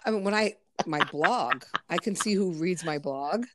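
A woman's voice: lowish voice, lively intonation, moderate pace, slight background noise. A woman hosting a podcast, chatting bluntly with frequent swearing. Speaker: podcast host. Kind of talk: casual podcast chat.

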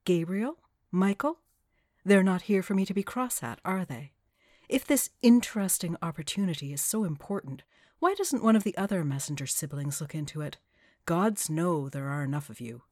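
Lady as a fed up witch, deep for a woman, flat n sarcastic, dry close sound, audio drama. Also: normal speed, no echo. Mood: sarcastic, angry. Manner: flat, sardonic, clipped. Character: stern, exasperated female witch, dry and unyielding. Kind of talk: multivoice podfic audio drama acting.

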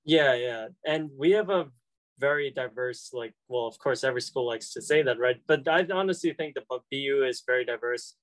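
A man's voice: medium pitch, normal speed, dry recording, rough recording. Young college guy, chatty and hesitant, dry close recording. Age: young adult. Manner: hesitant, many fillers and restarts. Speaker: college student interviewee. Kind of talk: casual conversation.

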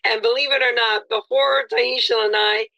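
A woman's voice: a little high, steady tone, normal speed, gravelly, bright, forceful tone, lo-fi sound. A woman hosting an interview, effusive and complimentary, with many fillers. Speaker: interview host. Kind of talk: friendly interview chat.

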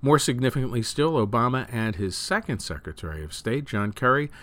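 A man reading news and opinion articles aloud as a host, with measured, emphatic phrasing that falls away at sentence ends. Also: mid-range voice, animated, fairly bright tone. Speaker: news reader and show host.

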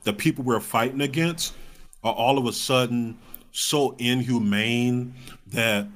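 Casual man in his thirties, mid-pitched voice. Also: unhurried, fairly bright tone. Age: 30s. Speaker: podcast guest, stand-up comic. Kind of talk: casual conversational interview.

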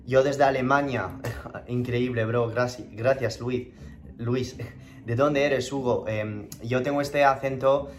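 A man's voice: mid-range voice, fairly even intonation, takes it slowly. A man in his thirties who grew up in France, speaking Spanish with a French accent as a keto diet coach on a live stream, casual and rambling with many fillers and restarts. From southern France, Spanish parents.

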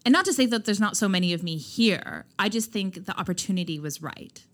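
A woman guest on a chat podcast talking candidly about founding her own skincare brand, loud and emphatic, with lots of "like" fillers. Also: medium pitch, animated, fairly quick. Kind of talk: candid conversational interview.

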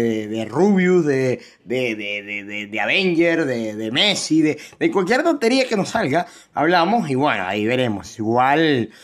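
Young venezuelan guy rambling on his podcast, kinda high voice, lots of um's and restarts, jokes about himself. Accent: Venezuelan Spanish. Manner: rambling and self-joking, many fillers and restarts. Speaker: podcast host. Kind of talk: casual solo podcast chat.

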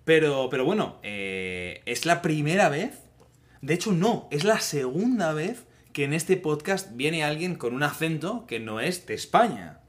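A podcast co-host from Spain who speaks in a relaxed way, with many fillers and drawn-out questions. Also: mid-range voice. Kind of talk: casual podcast interview.

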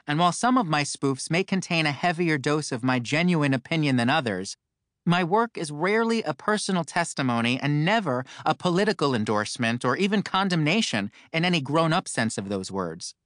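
A man's voice: on the high side, a bit bright, no room sound, studio quality. A man reading his own memoir aloud as an audiobook, with campy, wry comic asides.

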